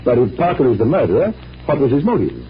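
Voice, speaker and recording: An actor playing a composed, mysterious stage magician and detective, with polished and deliberate speech in old-time radio style. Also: male voice, medium pitch, sing-song. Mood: curious, courteous. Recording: muffled old radio recording, faint noise.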